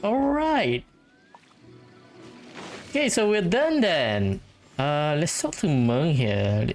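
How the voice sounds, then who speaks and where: A woman's voice: low-pitched, sing-song, slow, darkish tone. A woman giving casual, chatty and slightly hesitant playthrough commentary as a gaming streamer. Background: game music.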